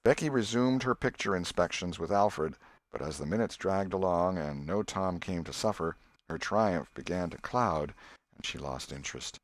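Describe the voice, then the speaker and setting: A man's voice: low-pitched, lively intonation, moderate pace, no echo. A man reading scripted literary narration as an audiobook narrator.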